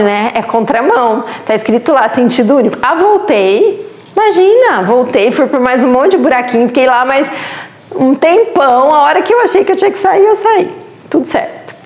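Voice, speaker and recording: A woman's voice: on the high side, darkish tone, roomy sound, lo-fi sound. A Brazilian woman with a Brazilian Portuguese accent, chatting casually and with animation as she tells a travel story, laughing often. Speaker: travel vlogger.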